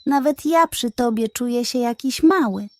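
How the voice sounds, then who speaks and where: Woman voicing a sly, greedy, high-pitched pug. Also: normal speed, no echo, clean studio sound, close to an everyday voice. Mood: wheedling flattery. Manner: wheedling, flattering, theatrical. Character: sly, greedy, fast-talking male pug dog schemer. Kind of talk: children's storybook character voice.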